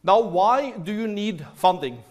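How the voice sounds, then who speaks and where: Man, Norwegian accent, high-pitched, lively, playful, seminar speaker. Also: a little slow, lo-fi sound. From Norway. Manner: lively, playful, urges the audience. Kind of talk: energetic business talk. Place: large hall.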